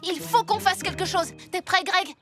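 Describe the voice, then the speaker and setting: A woman voicing an excitable, bold six-year-old child in a cartoon, with an emphatic delivery. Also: very high voice, moderate pace. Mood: determined. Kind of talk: French cartoon dub. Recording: music behind the voice.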